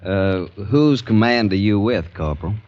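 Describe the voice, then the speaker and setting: An actor playing a courteous, quietly scheming Southern gentleman in an old radio drama, measured and probing in manner. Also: lowish voice, expressive, natural voice. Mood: courteous, curious. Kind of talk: 1940s-50s radio acting. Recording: dull vintage radio recording.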